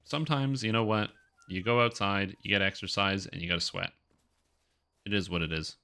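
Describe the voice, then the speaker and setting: A man's voice: on the low side, moderate pace, gravelly, sharp tone, smooth. A man with a congested, nasal voice chatting casually and ramblingly on a game live stream, close to the microphone. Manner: rambling, talks to himself and viewers. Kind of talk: casual live-stream chat while gaming.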